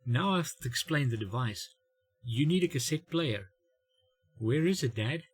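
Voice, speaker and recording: Middle aged dad type guy doing a funny story, bit husky, self-mocking, dramatic pauses. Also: medium pitch, animated, soft background music. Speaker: host of a family humour channel. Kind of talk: comedic storytelling monologue.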